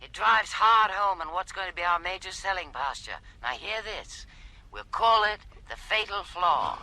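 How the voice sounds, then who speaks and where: Bossy salesman type for radio drama, really sharp highish voice, dead sure of himself. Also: animated, normal speed, heavily acted, low-quality recording. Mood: brisk, assured. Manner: clipped, bossy, self-assured. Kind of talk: BBC radio drama acting.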